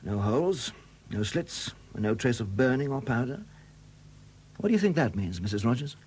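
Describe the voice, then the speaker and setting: An actor playing a shrewd, relentless police superintendent in a 1970s radio drama, questioning in a deliberate, courteous but insistent way. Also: male voice, medium pitch, big pitch swings, darkish tone, slight background noise, natural voice. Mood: methodical, insistent. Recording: dated 1970s radio recording.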